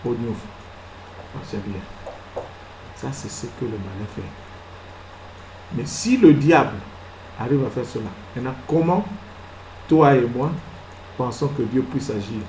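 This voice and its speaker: A man preaching a sermon as pastor. He fires rhetorical questions, moves between soft asides and loud exclamations, and acts out little scenes. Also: medium pitch, expressive, unhurried, long pauses, roomy sound, slight background noise, poor audio. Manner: acts out little scenes and laughs at his own jokes.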